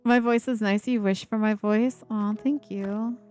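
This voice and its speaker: Woman streamer, mid-pitched, casual and chatty, breathy giggles, home desk mic. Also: fairly even intonation, measured pace, a little mellow, faint music behind. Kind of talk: casual live-stream chat.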